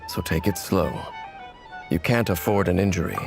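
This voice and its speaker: A woman voicing a grave, reserved fantasy warrior in a video game, with measured, formal speech. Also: extremely low pitch. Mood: calm, solemn. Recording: loud background music.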